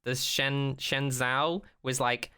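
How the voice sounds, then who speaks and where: A man co-hosting a podcast, chatting casually and opinionatedly, acting out mock quotes in an animated way and swearing freely. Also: mid-range voice, takes it slowly, clean tone. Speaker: podcast co-host. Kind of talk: casual chat, opinionated rant about a TV show.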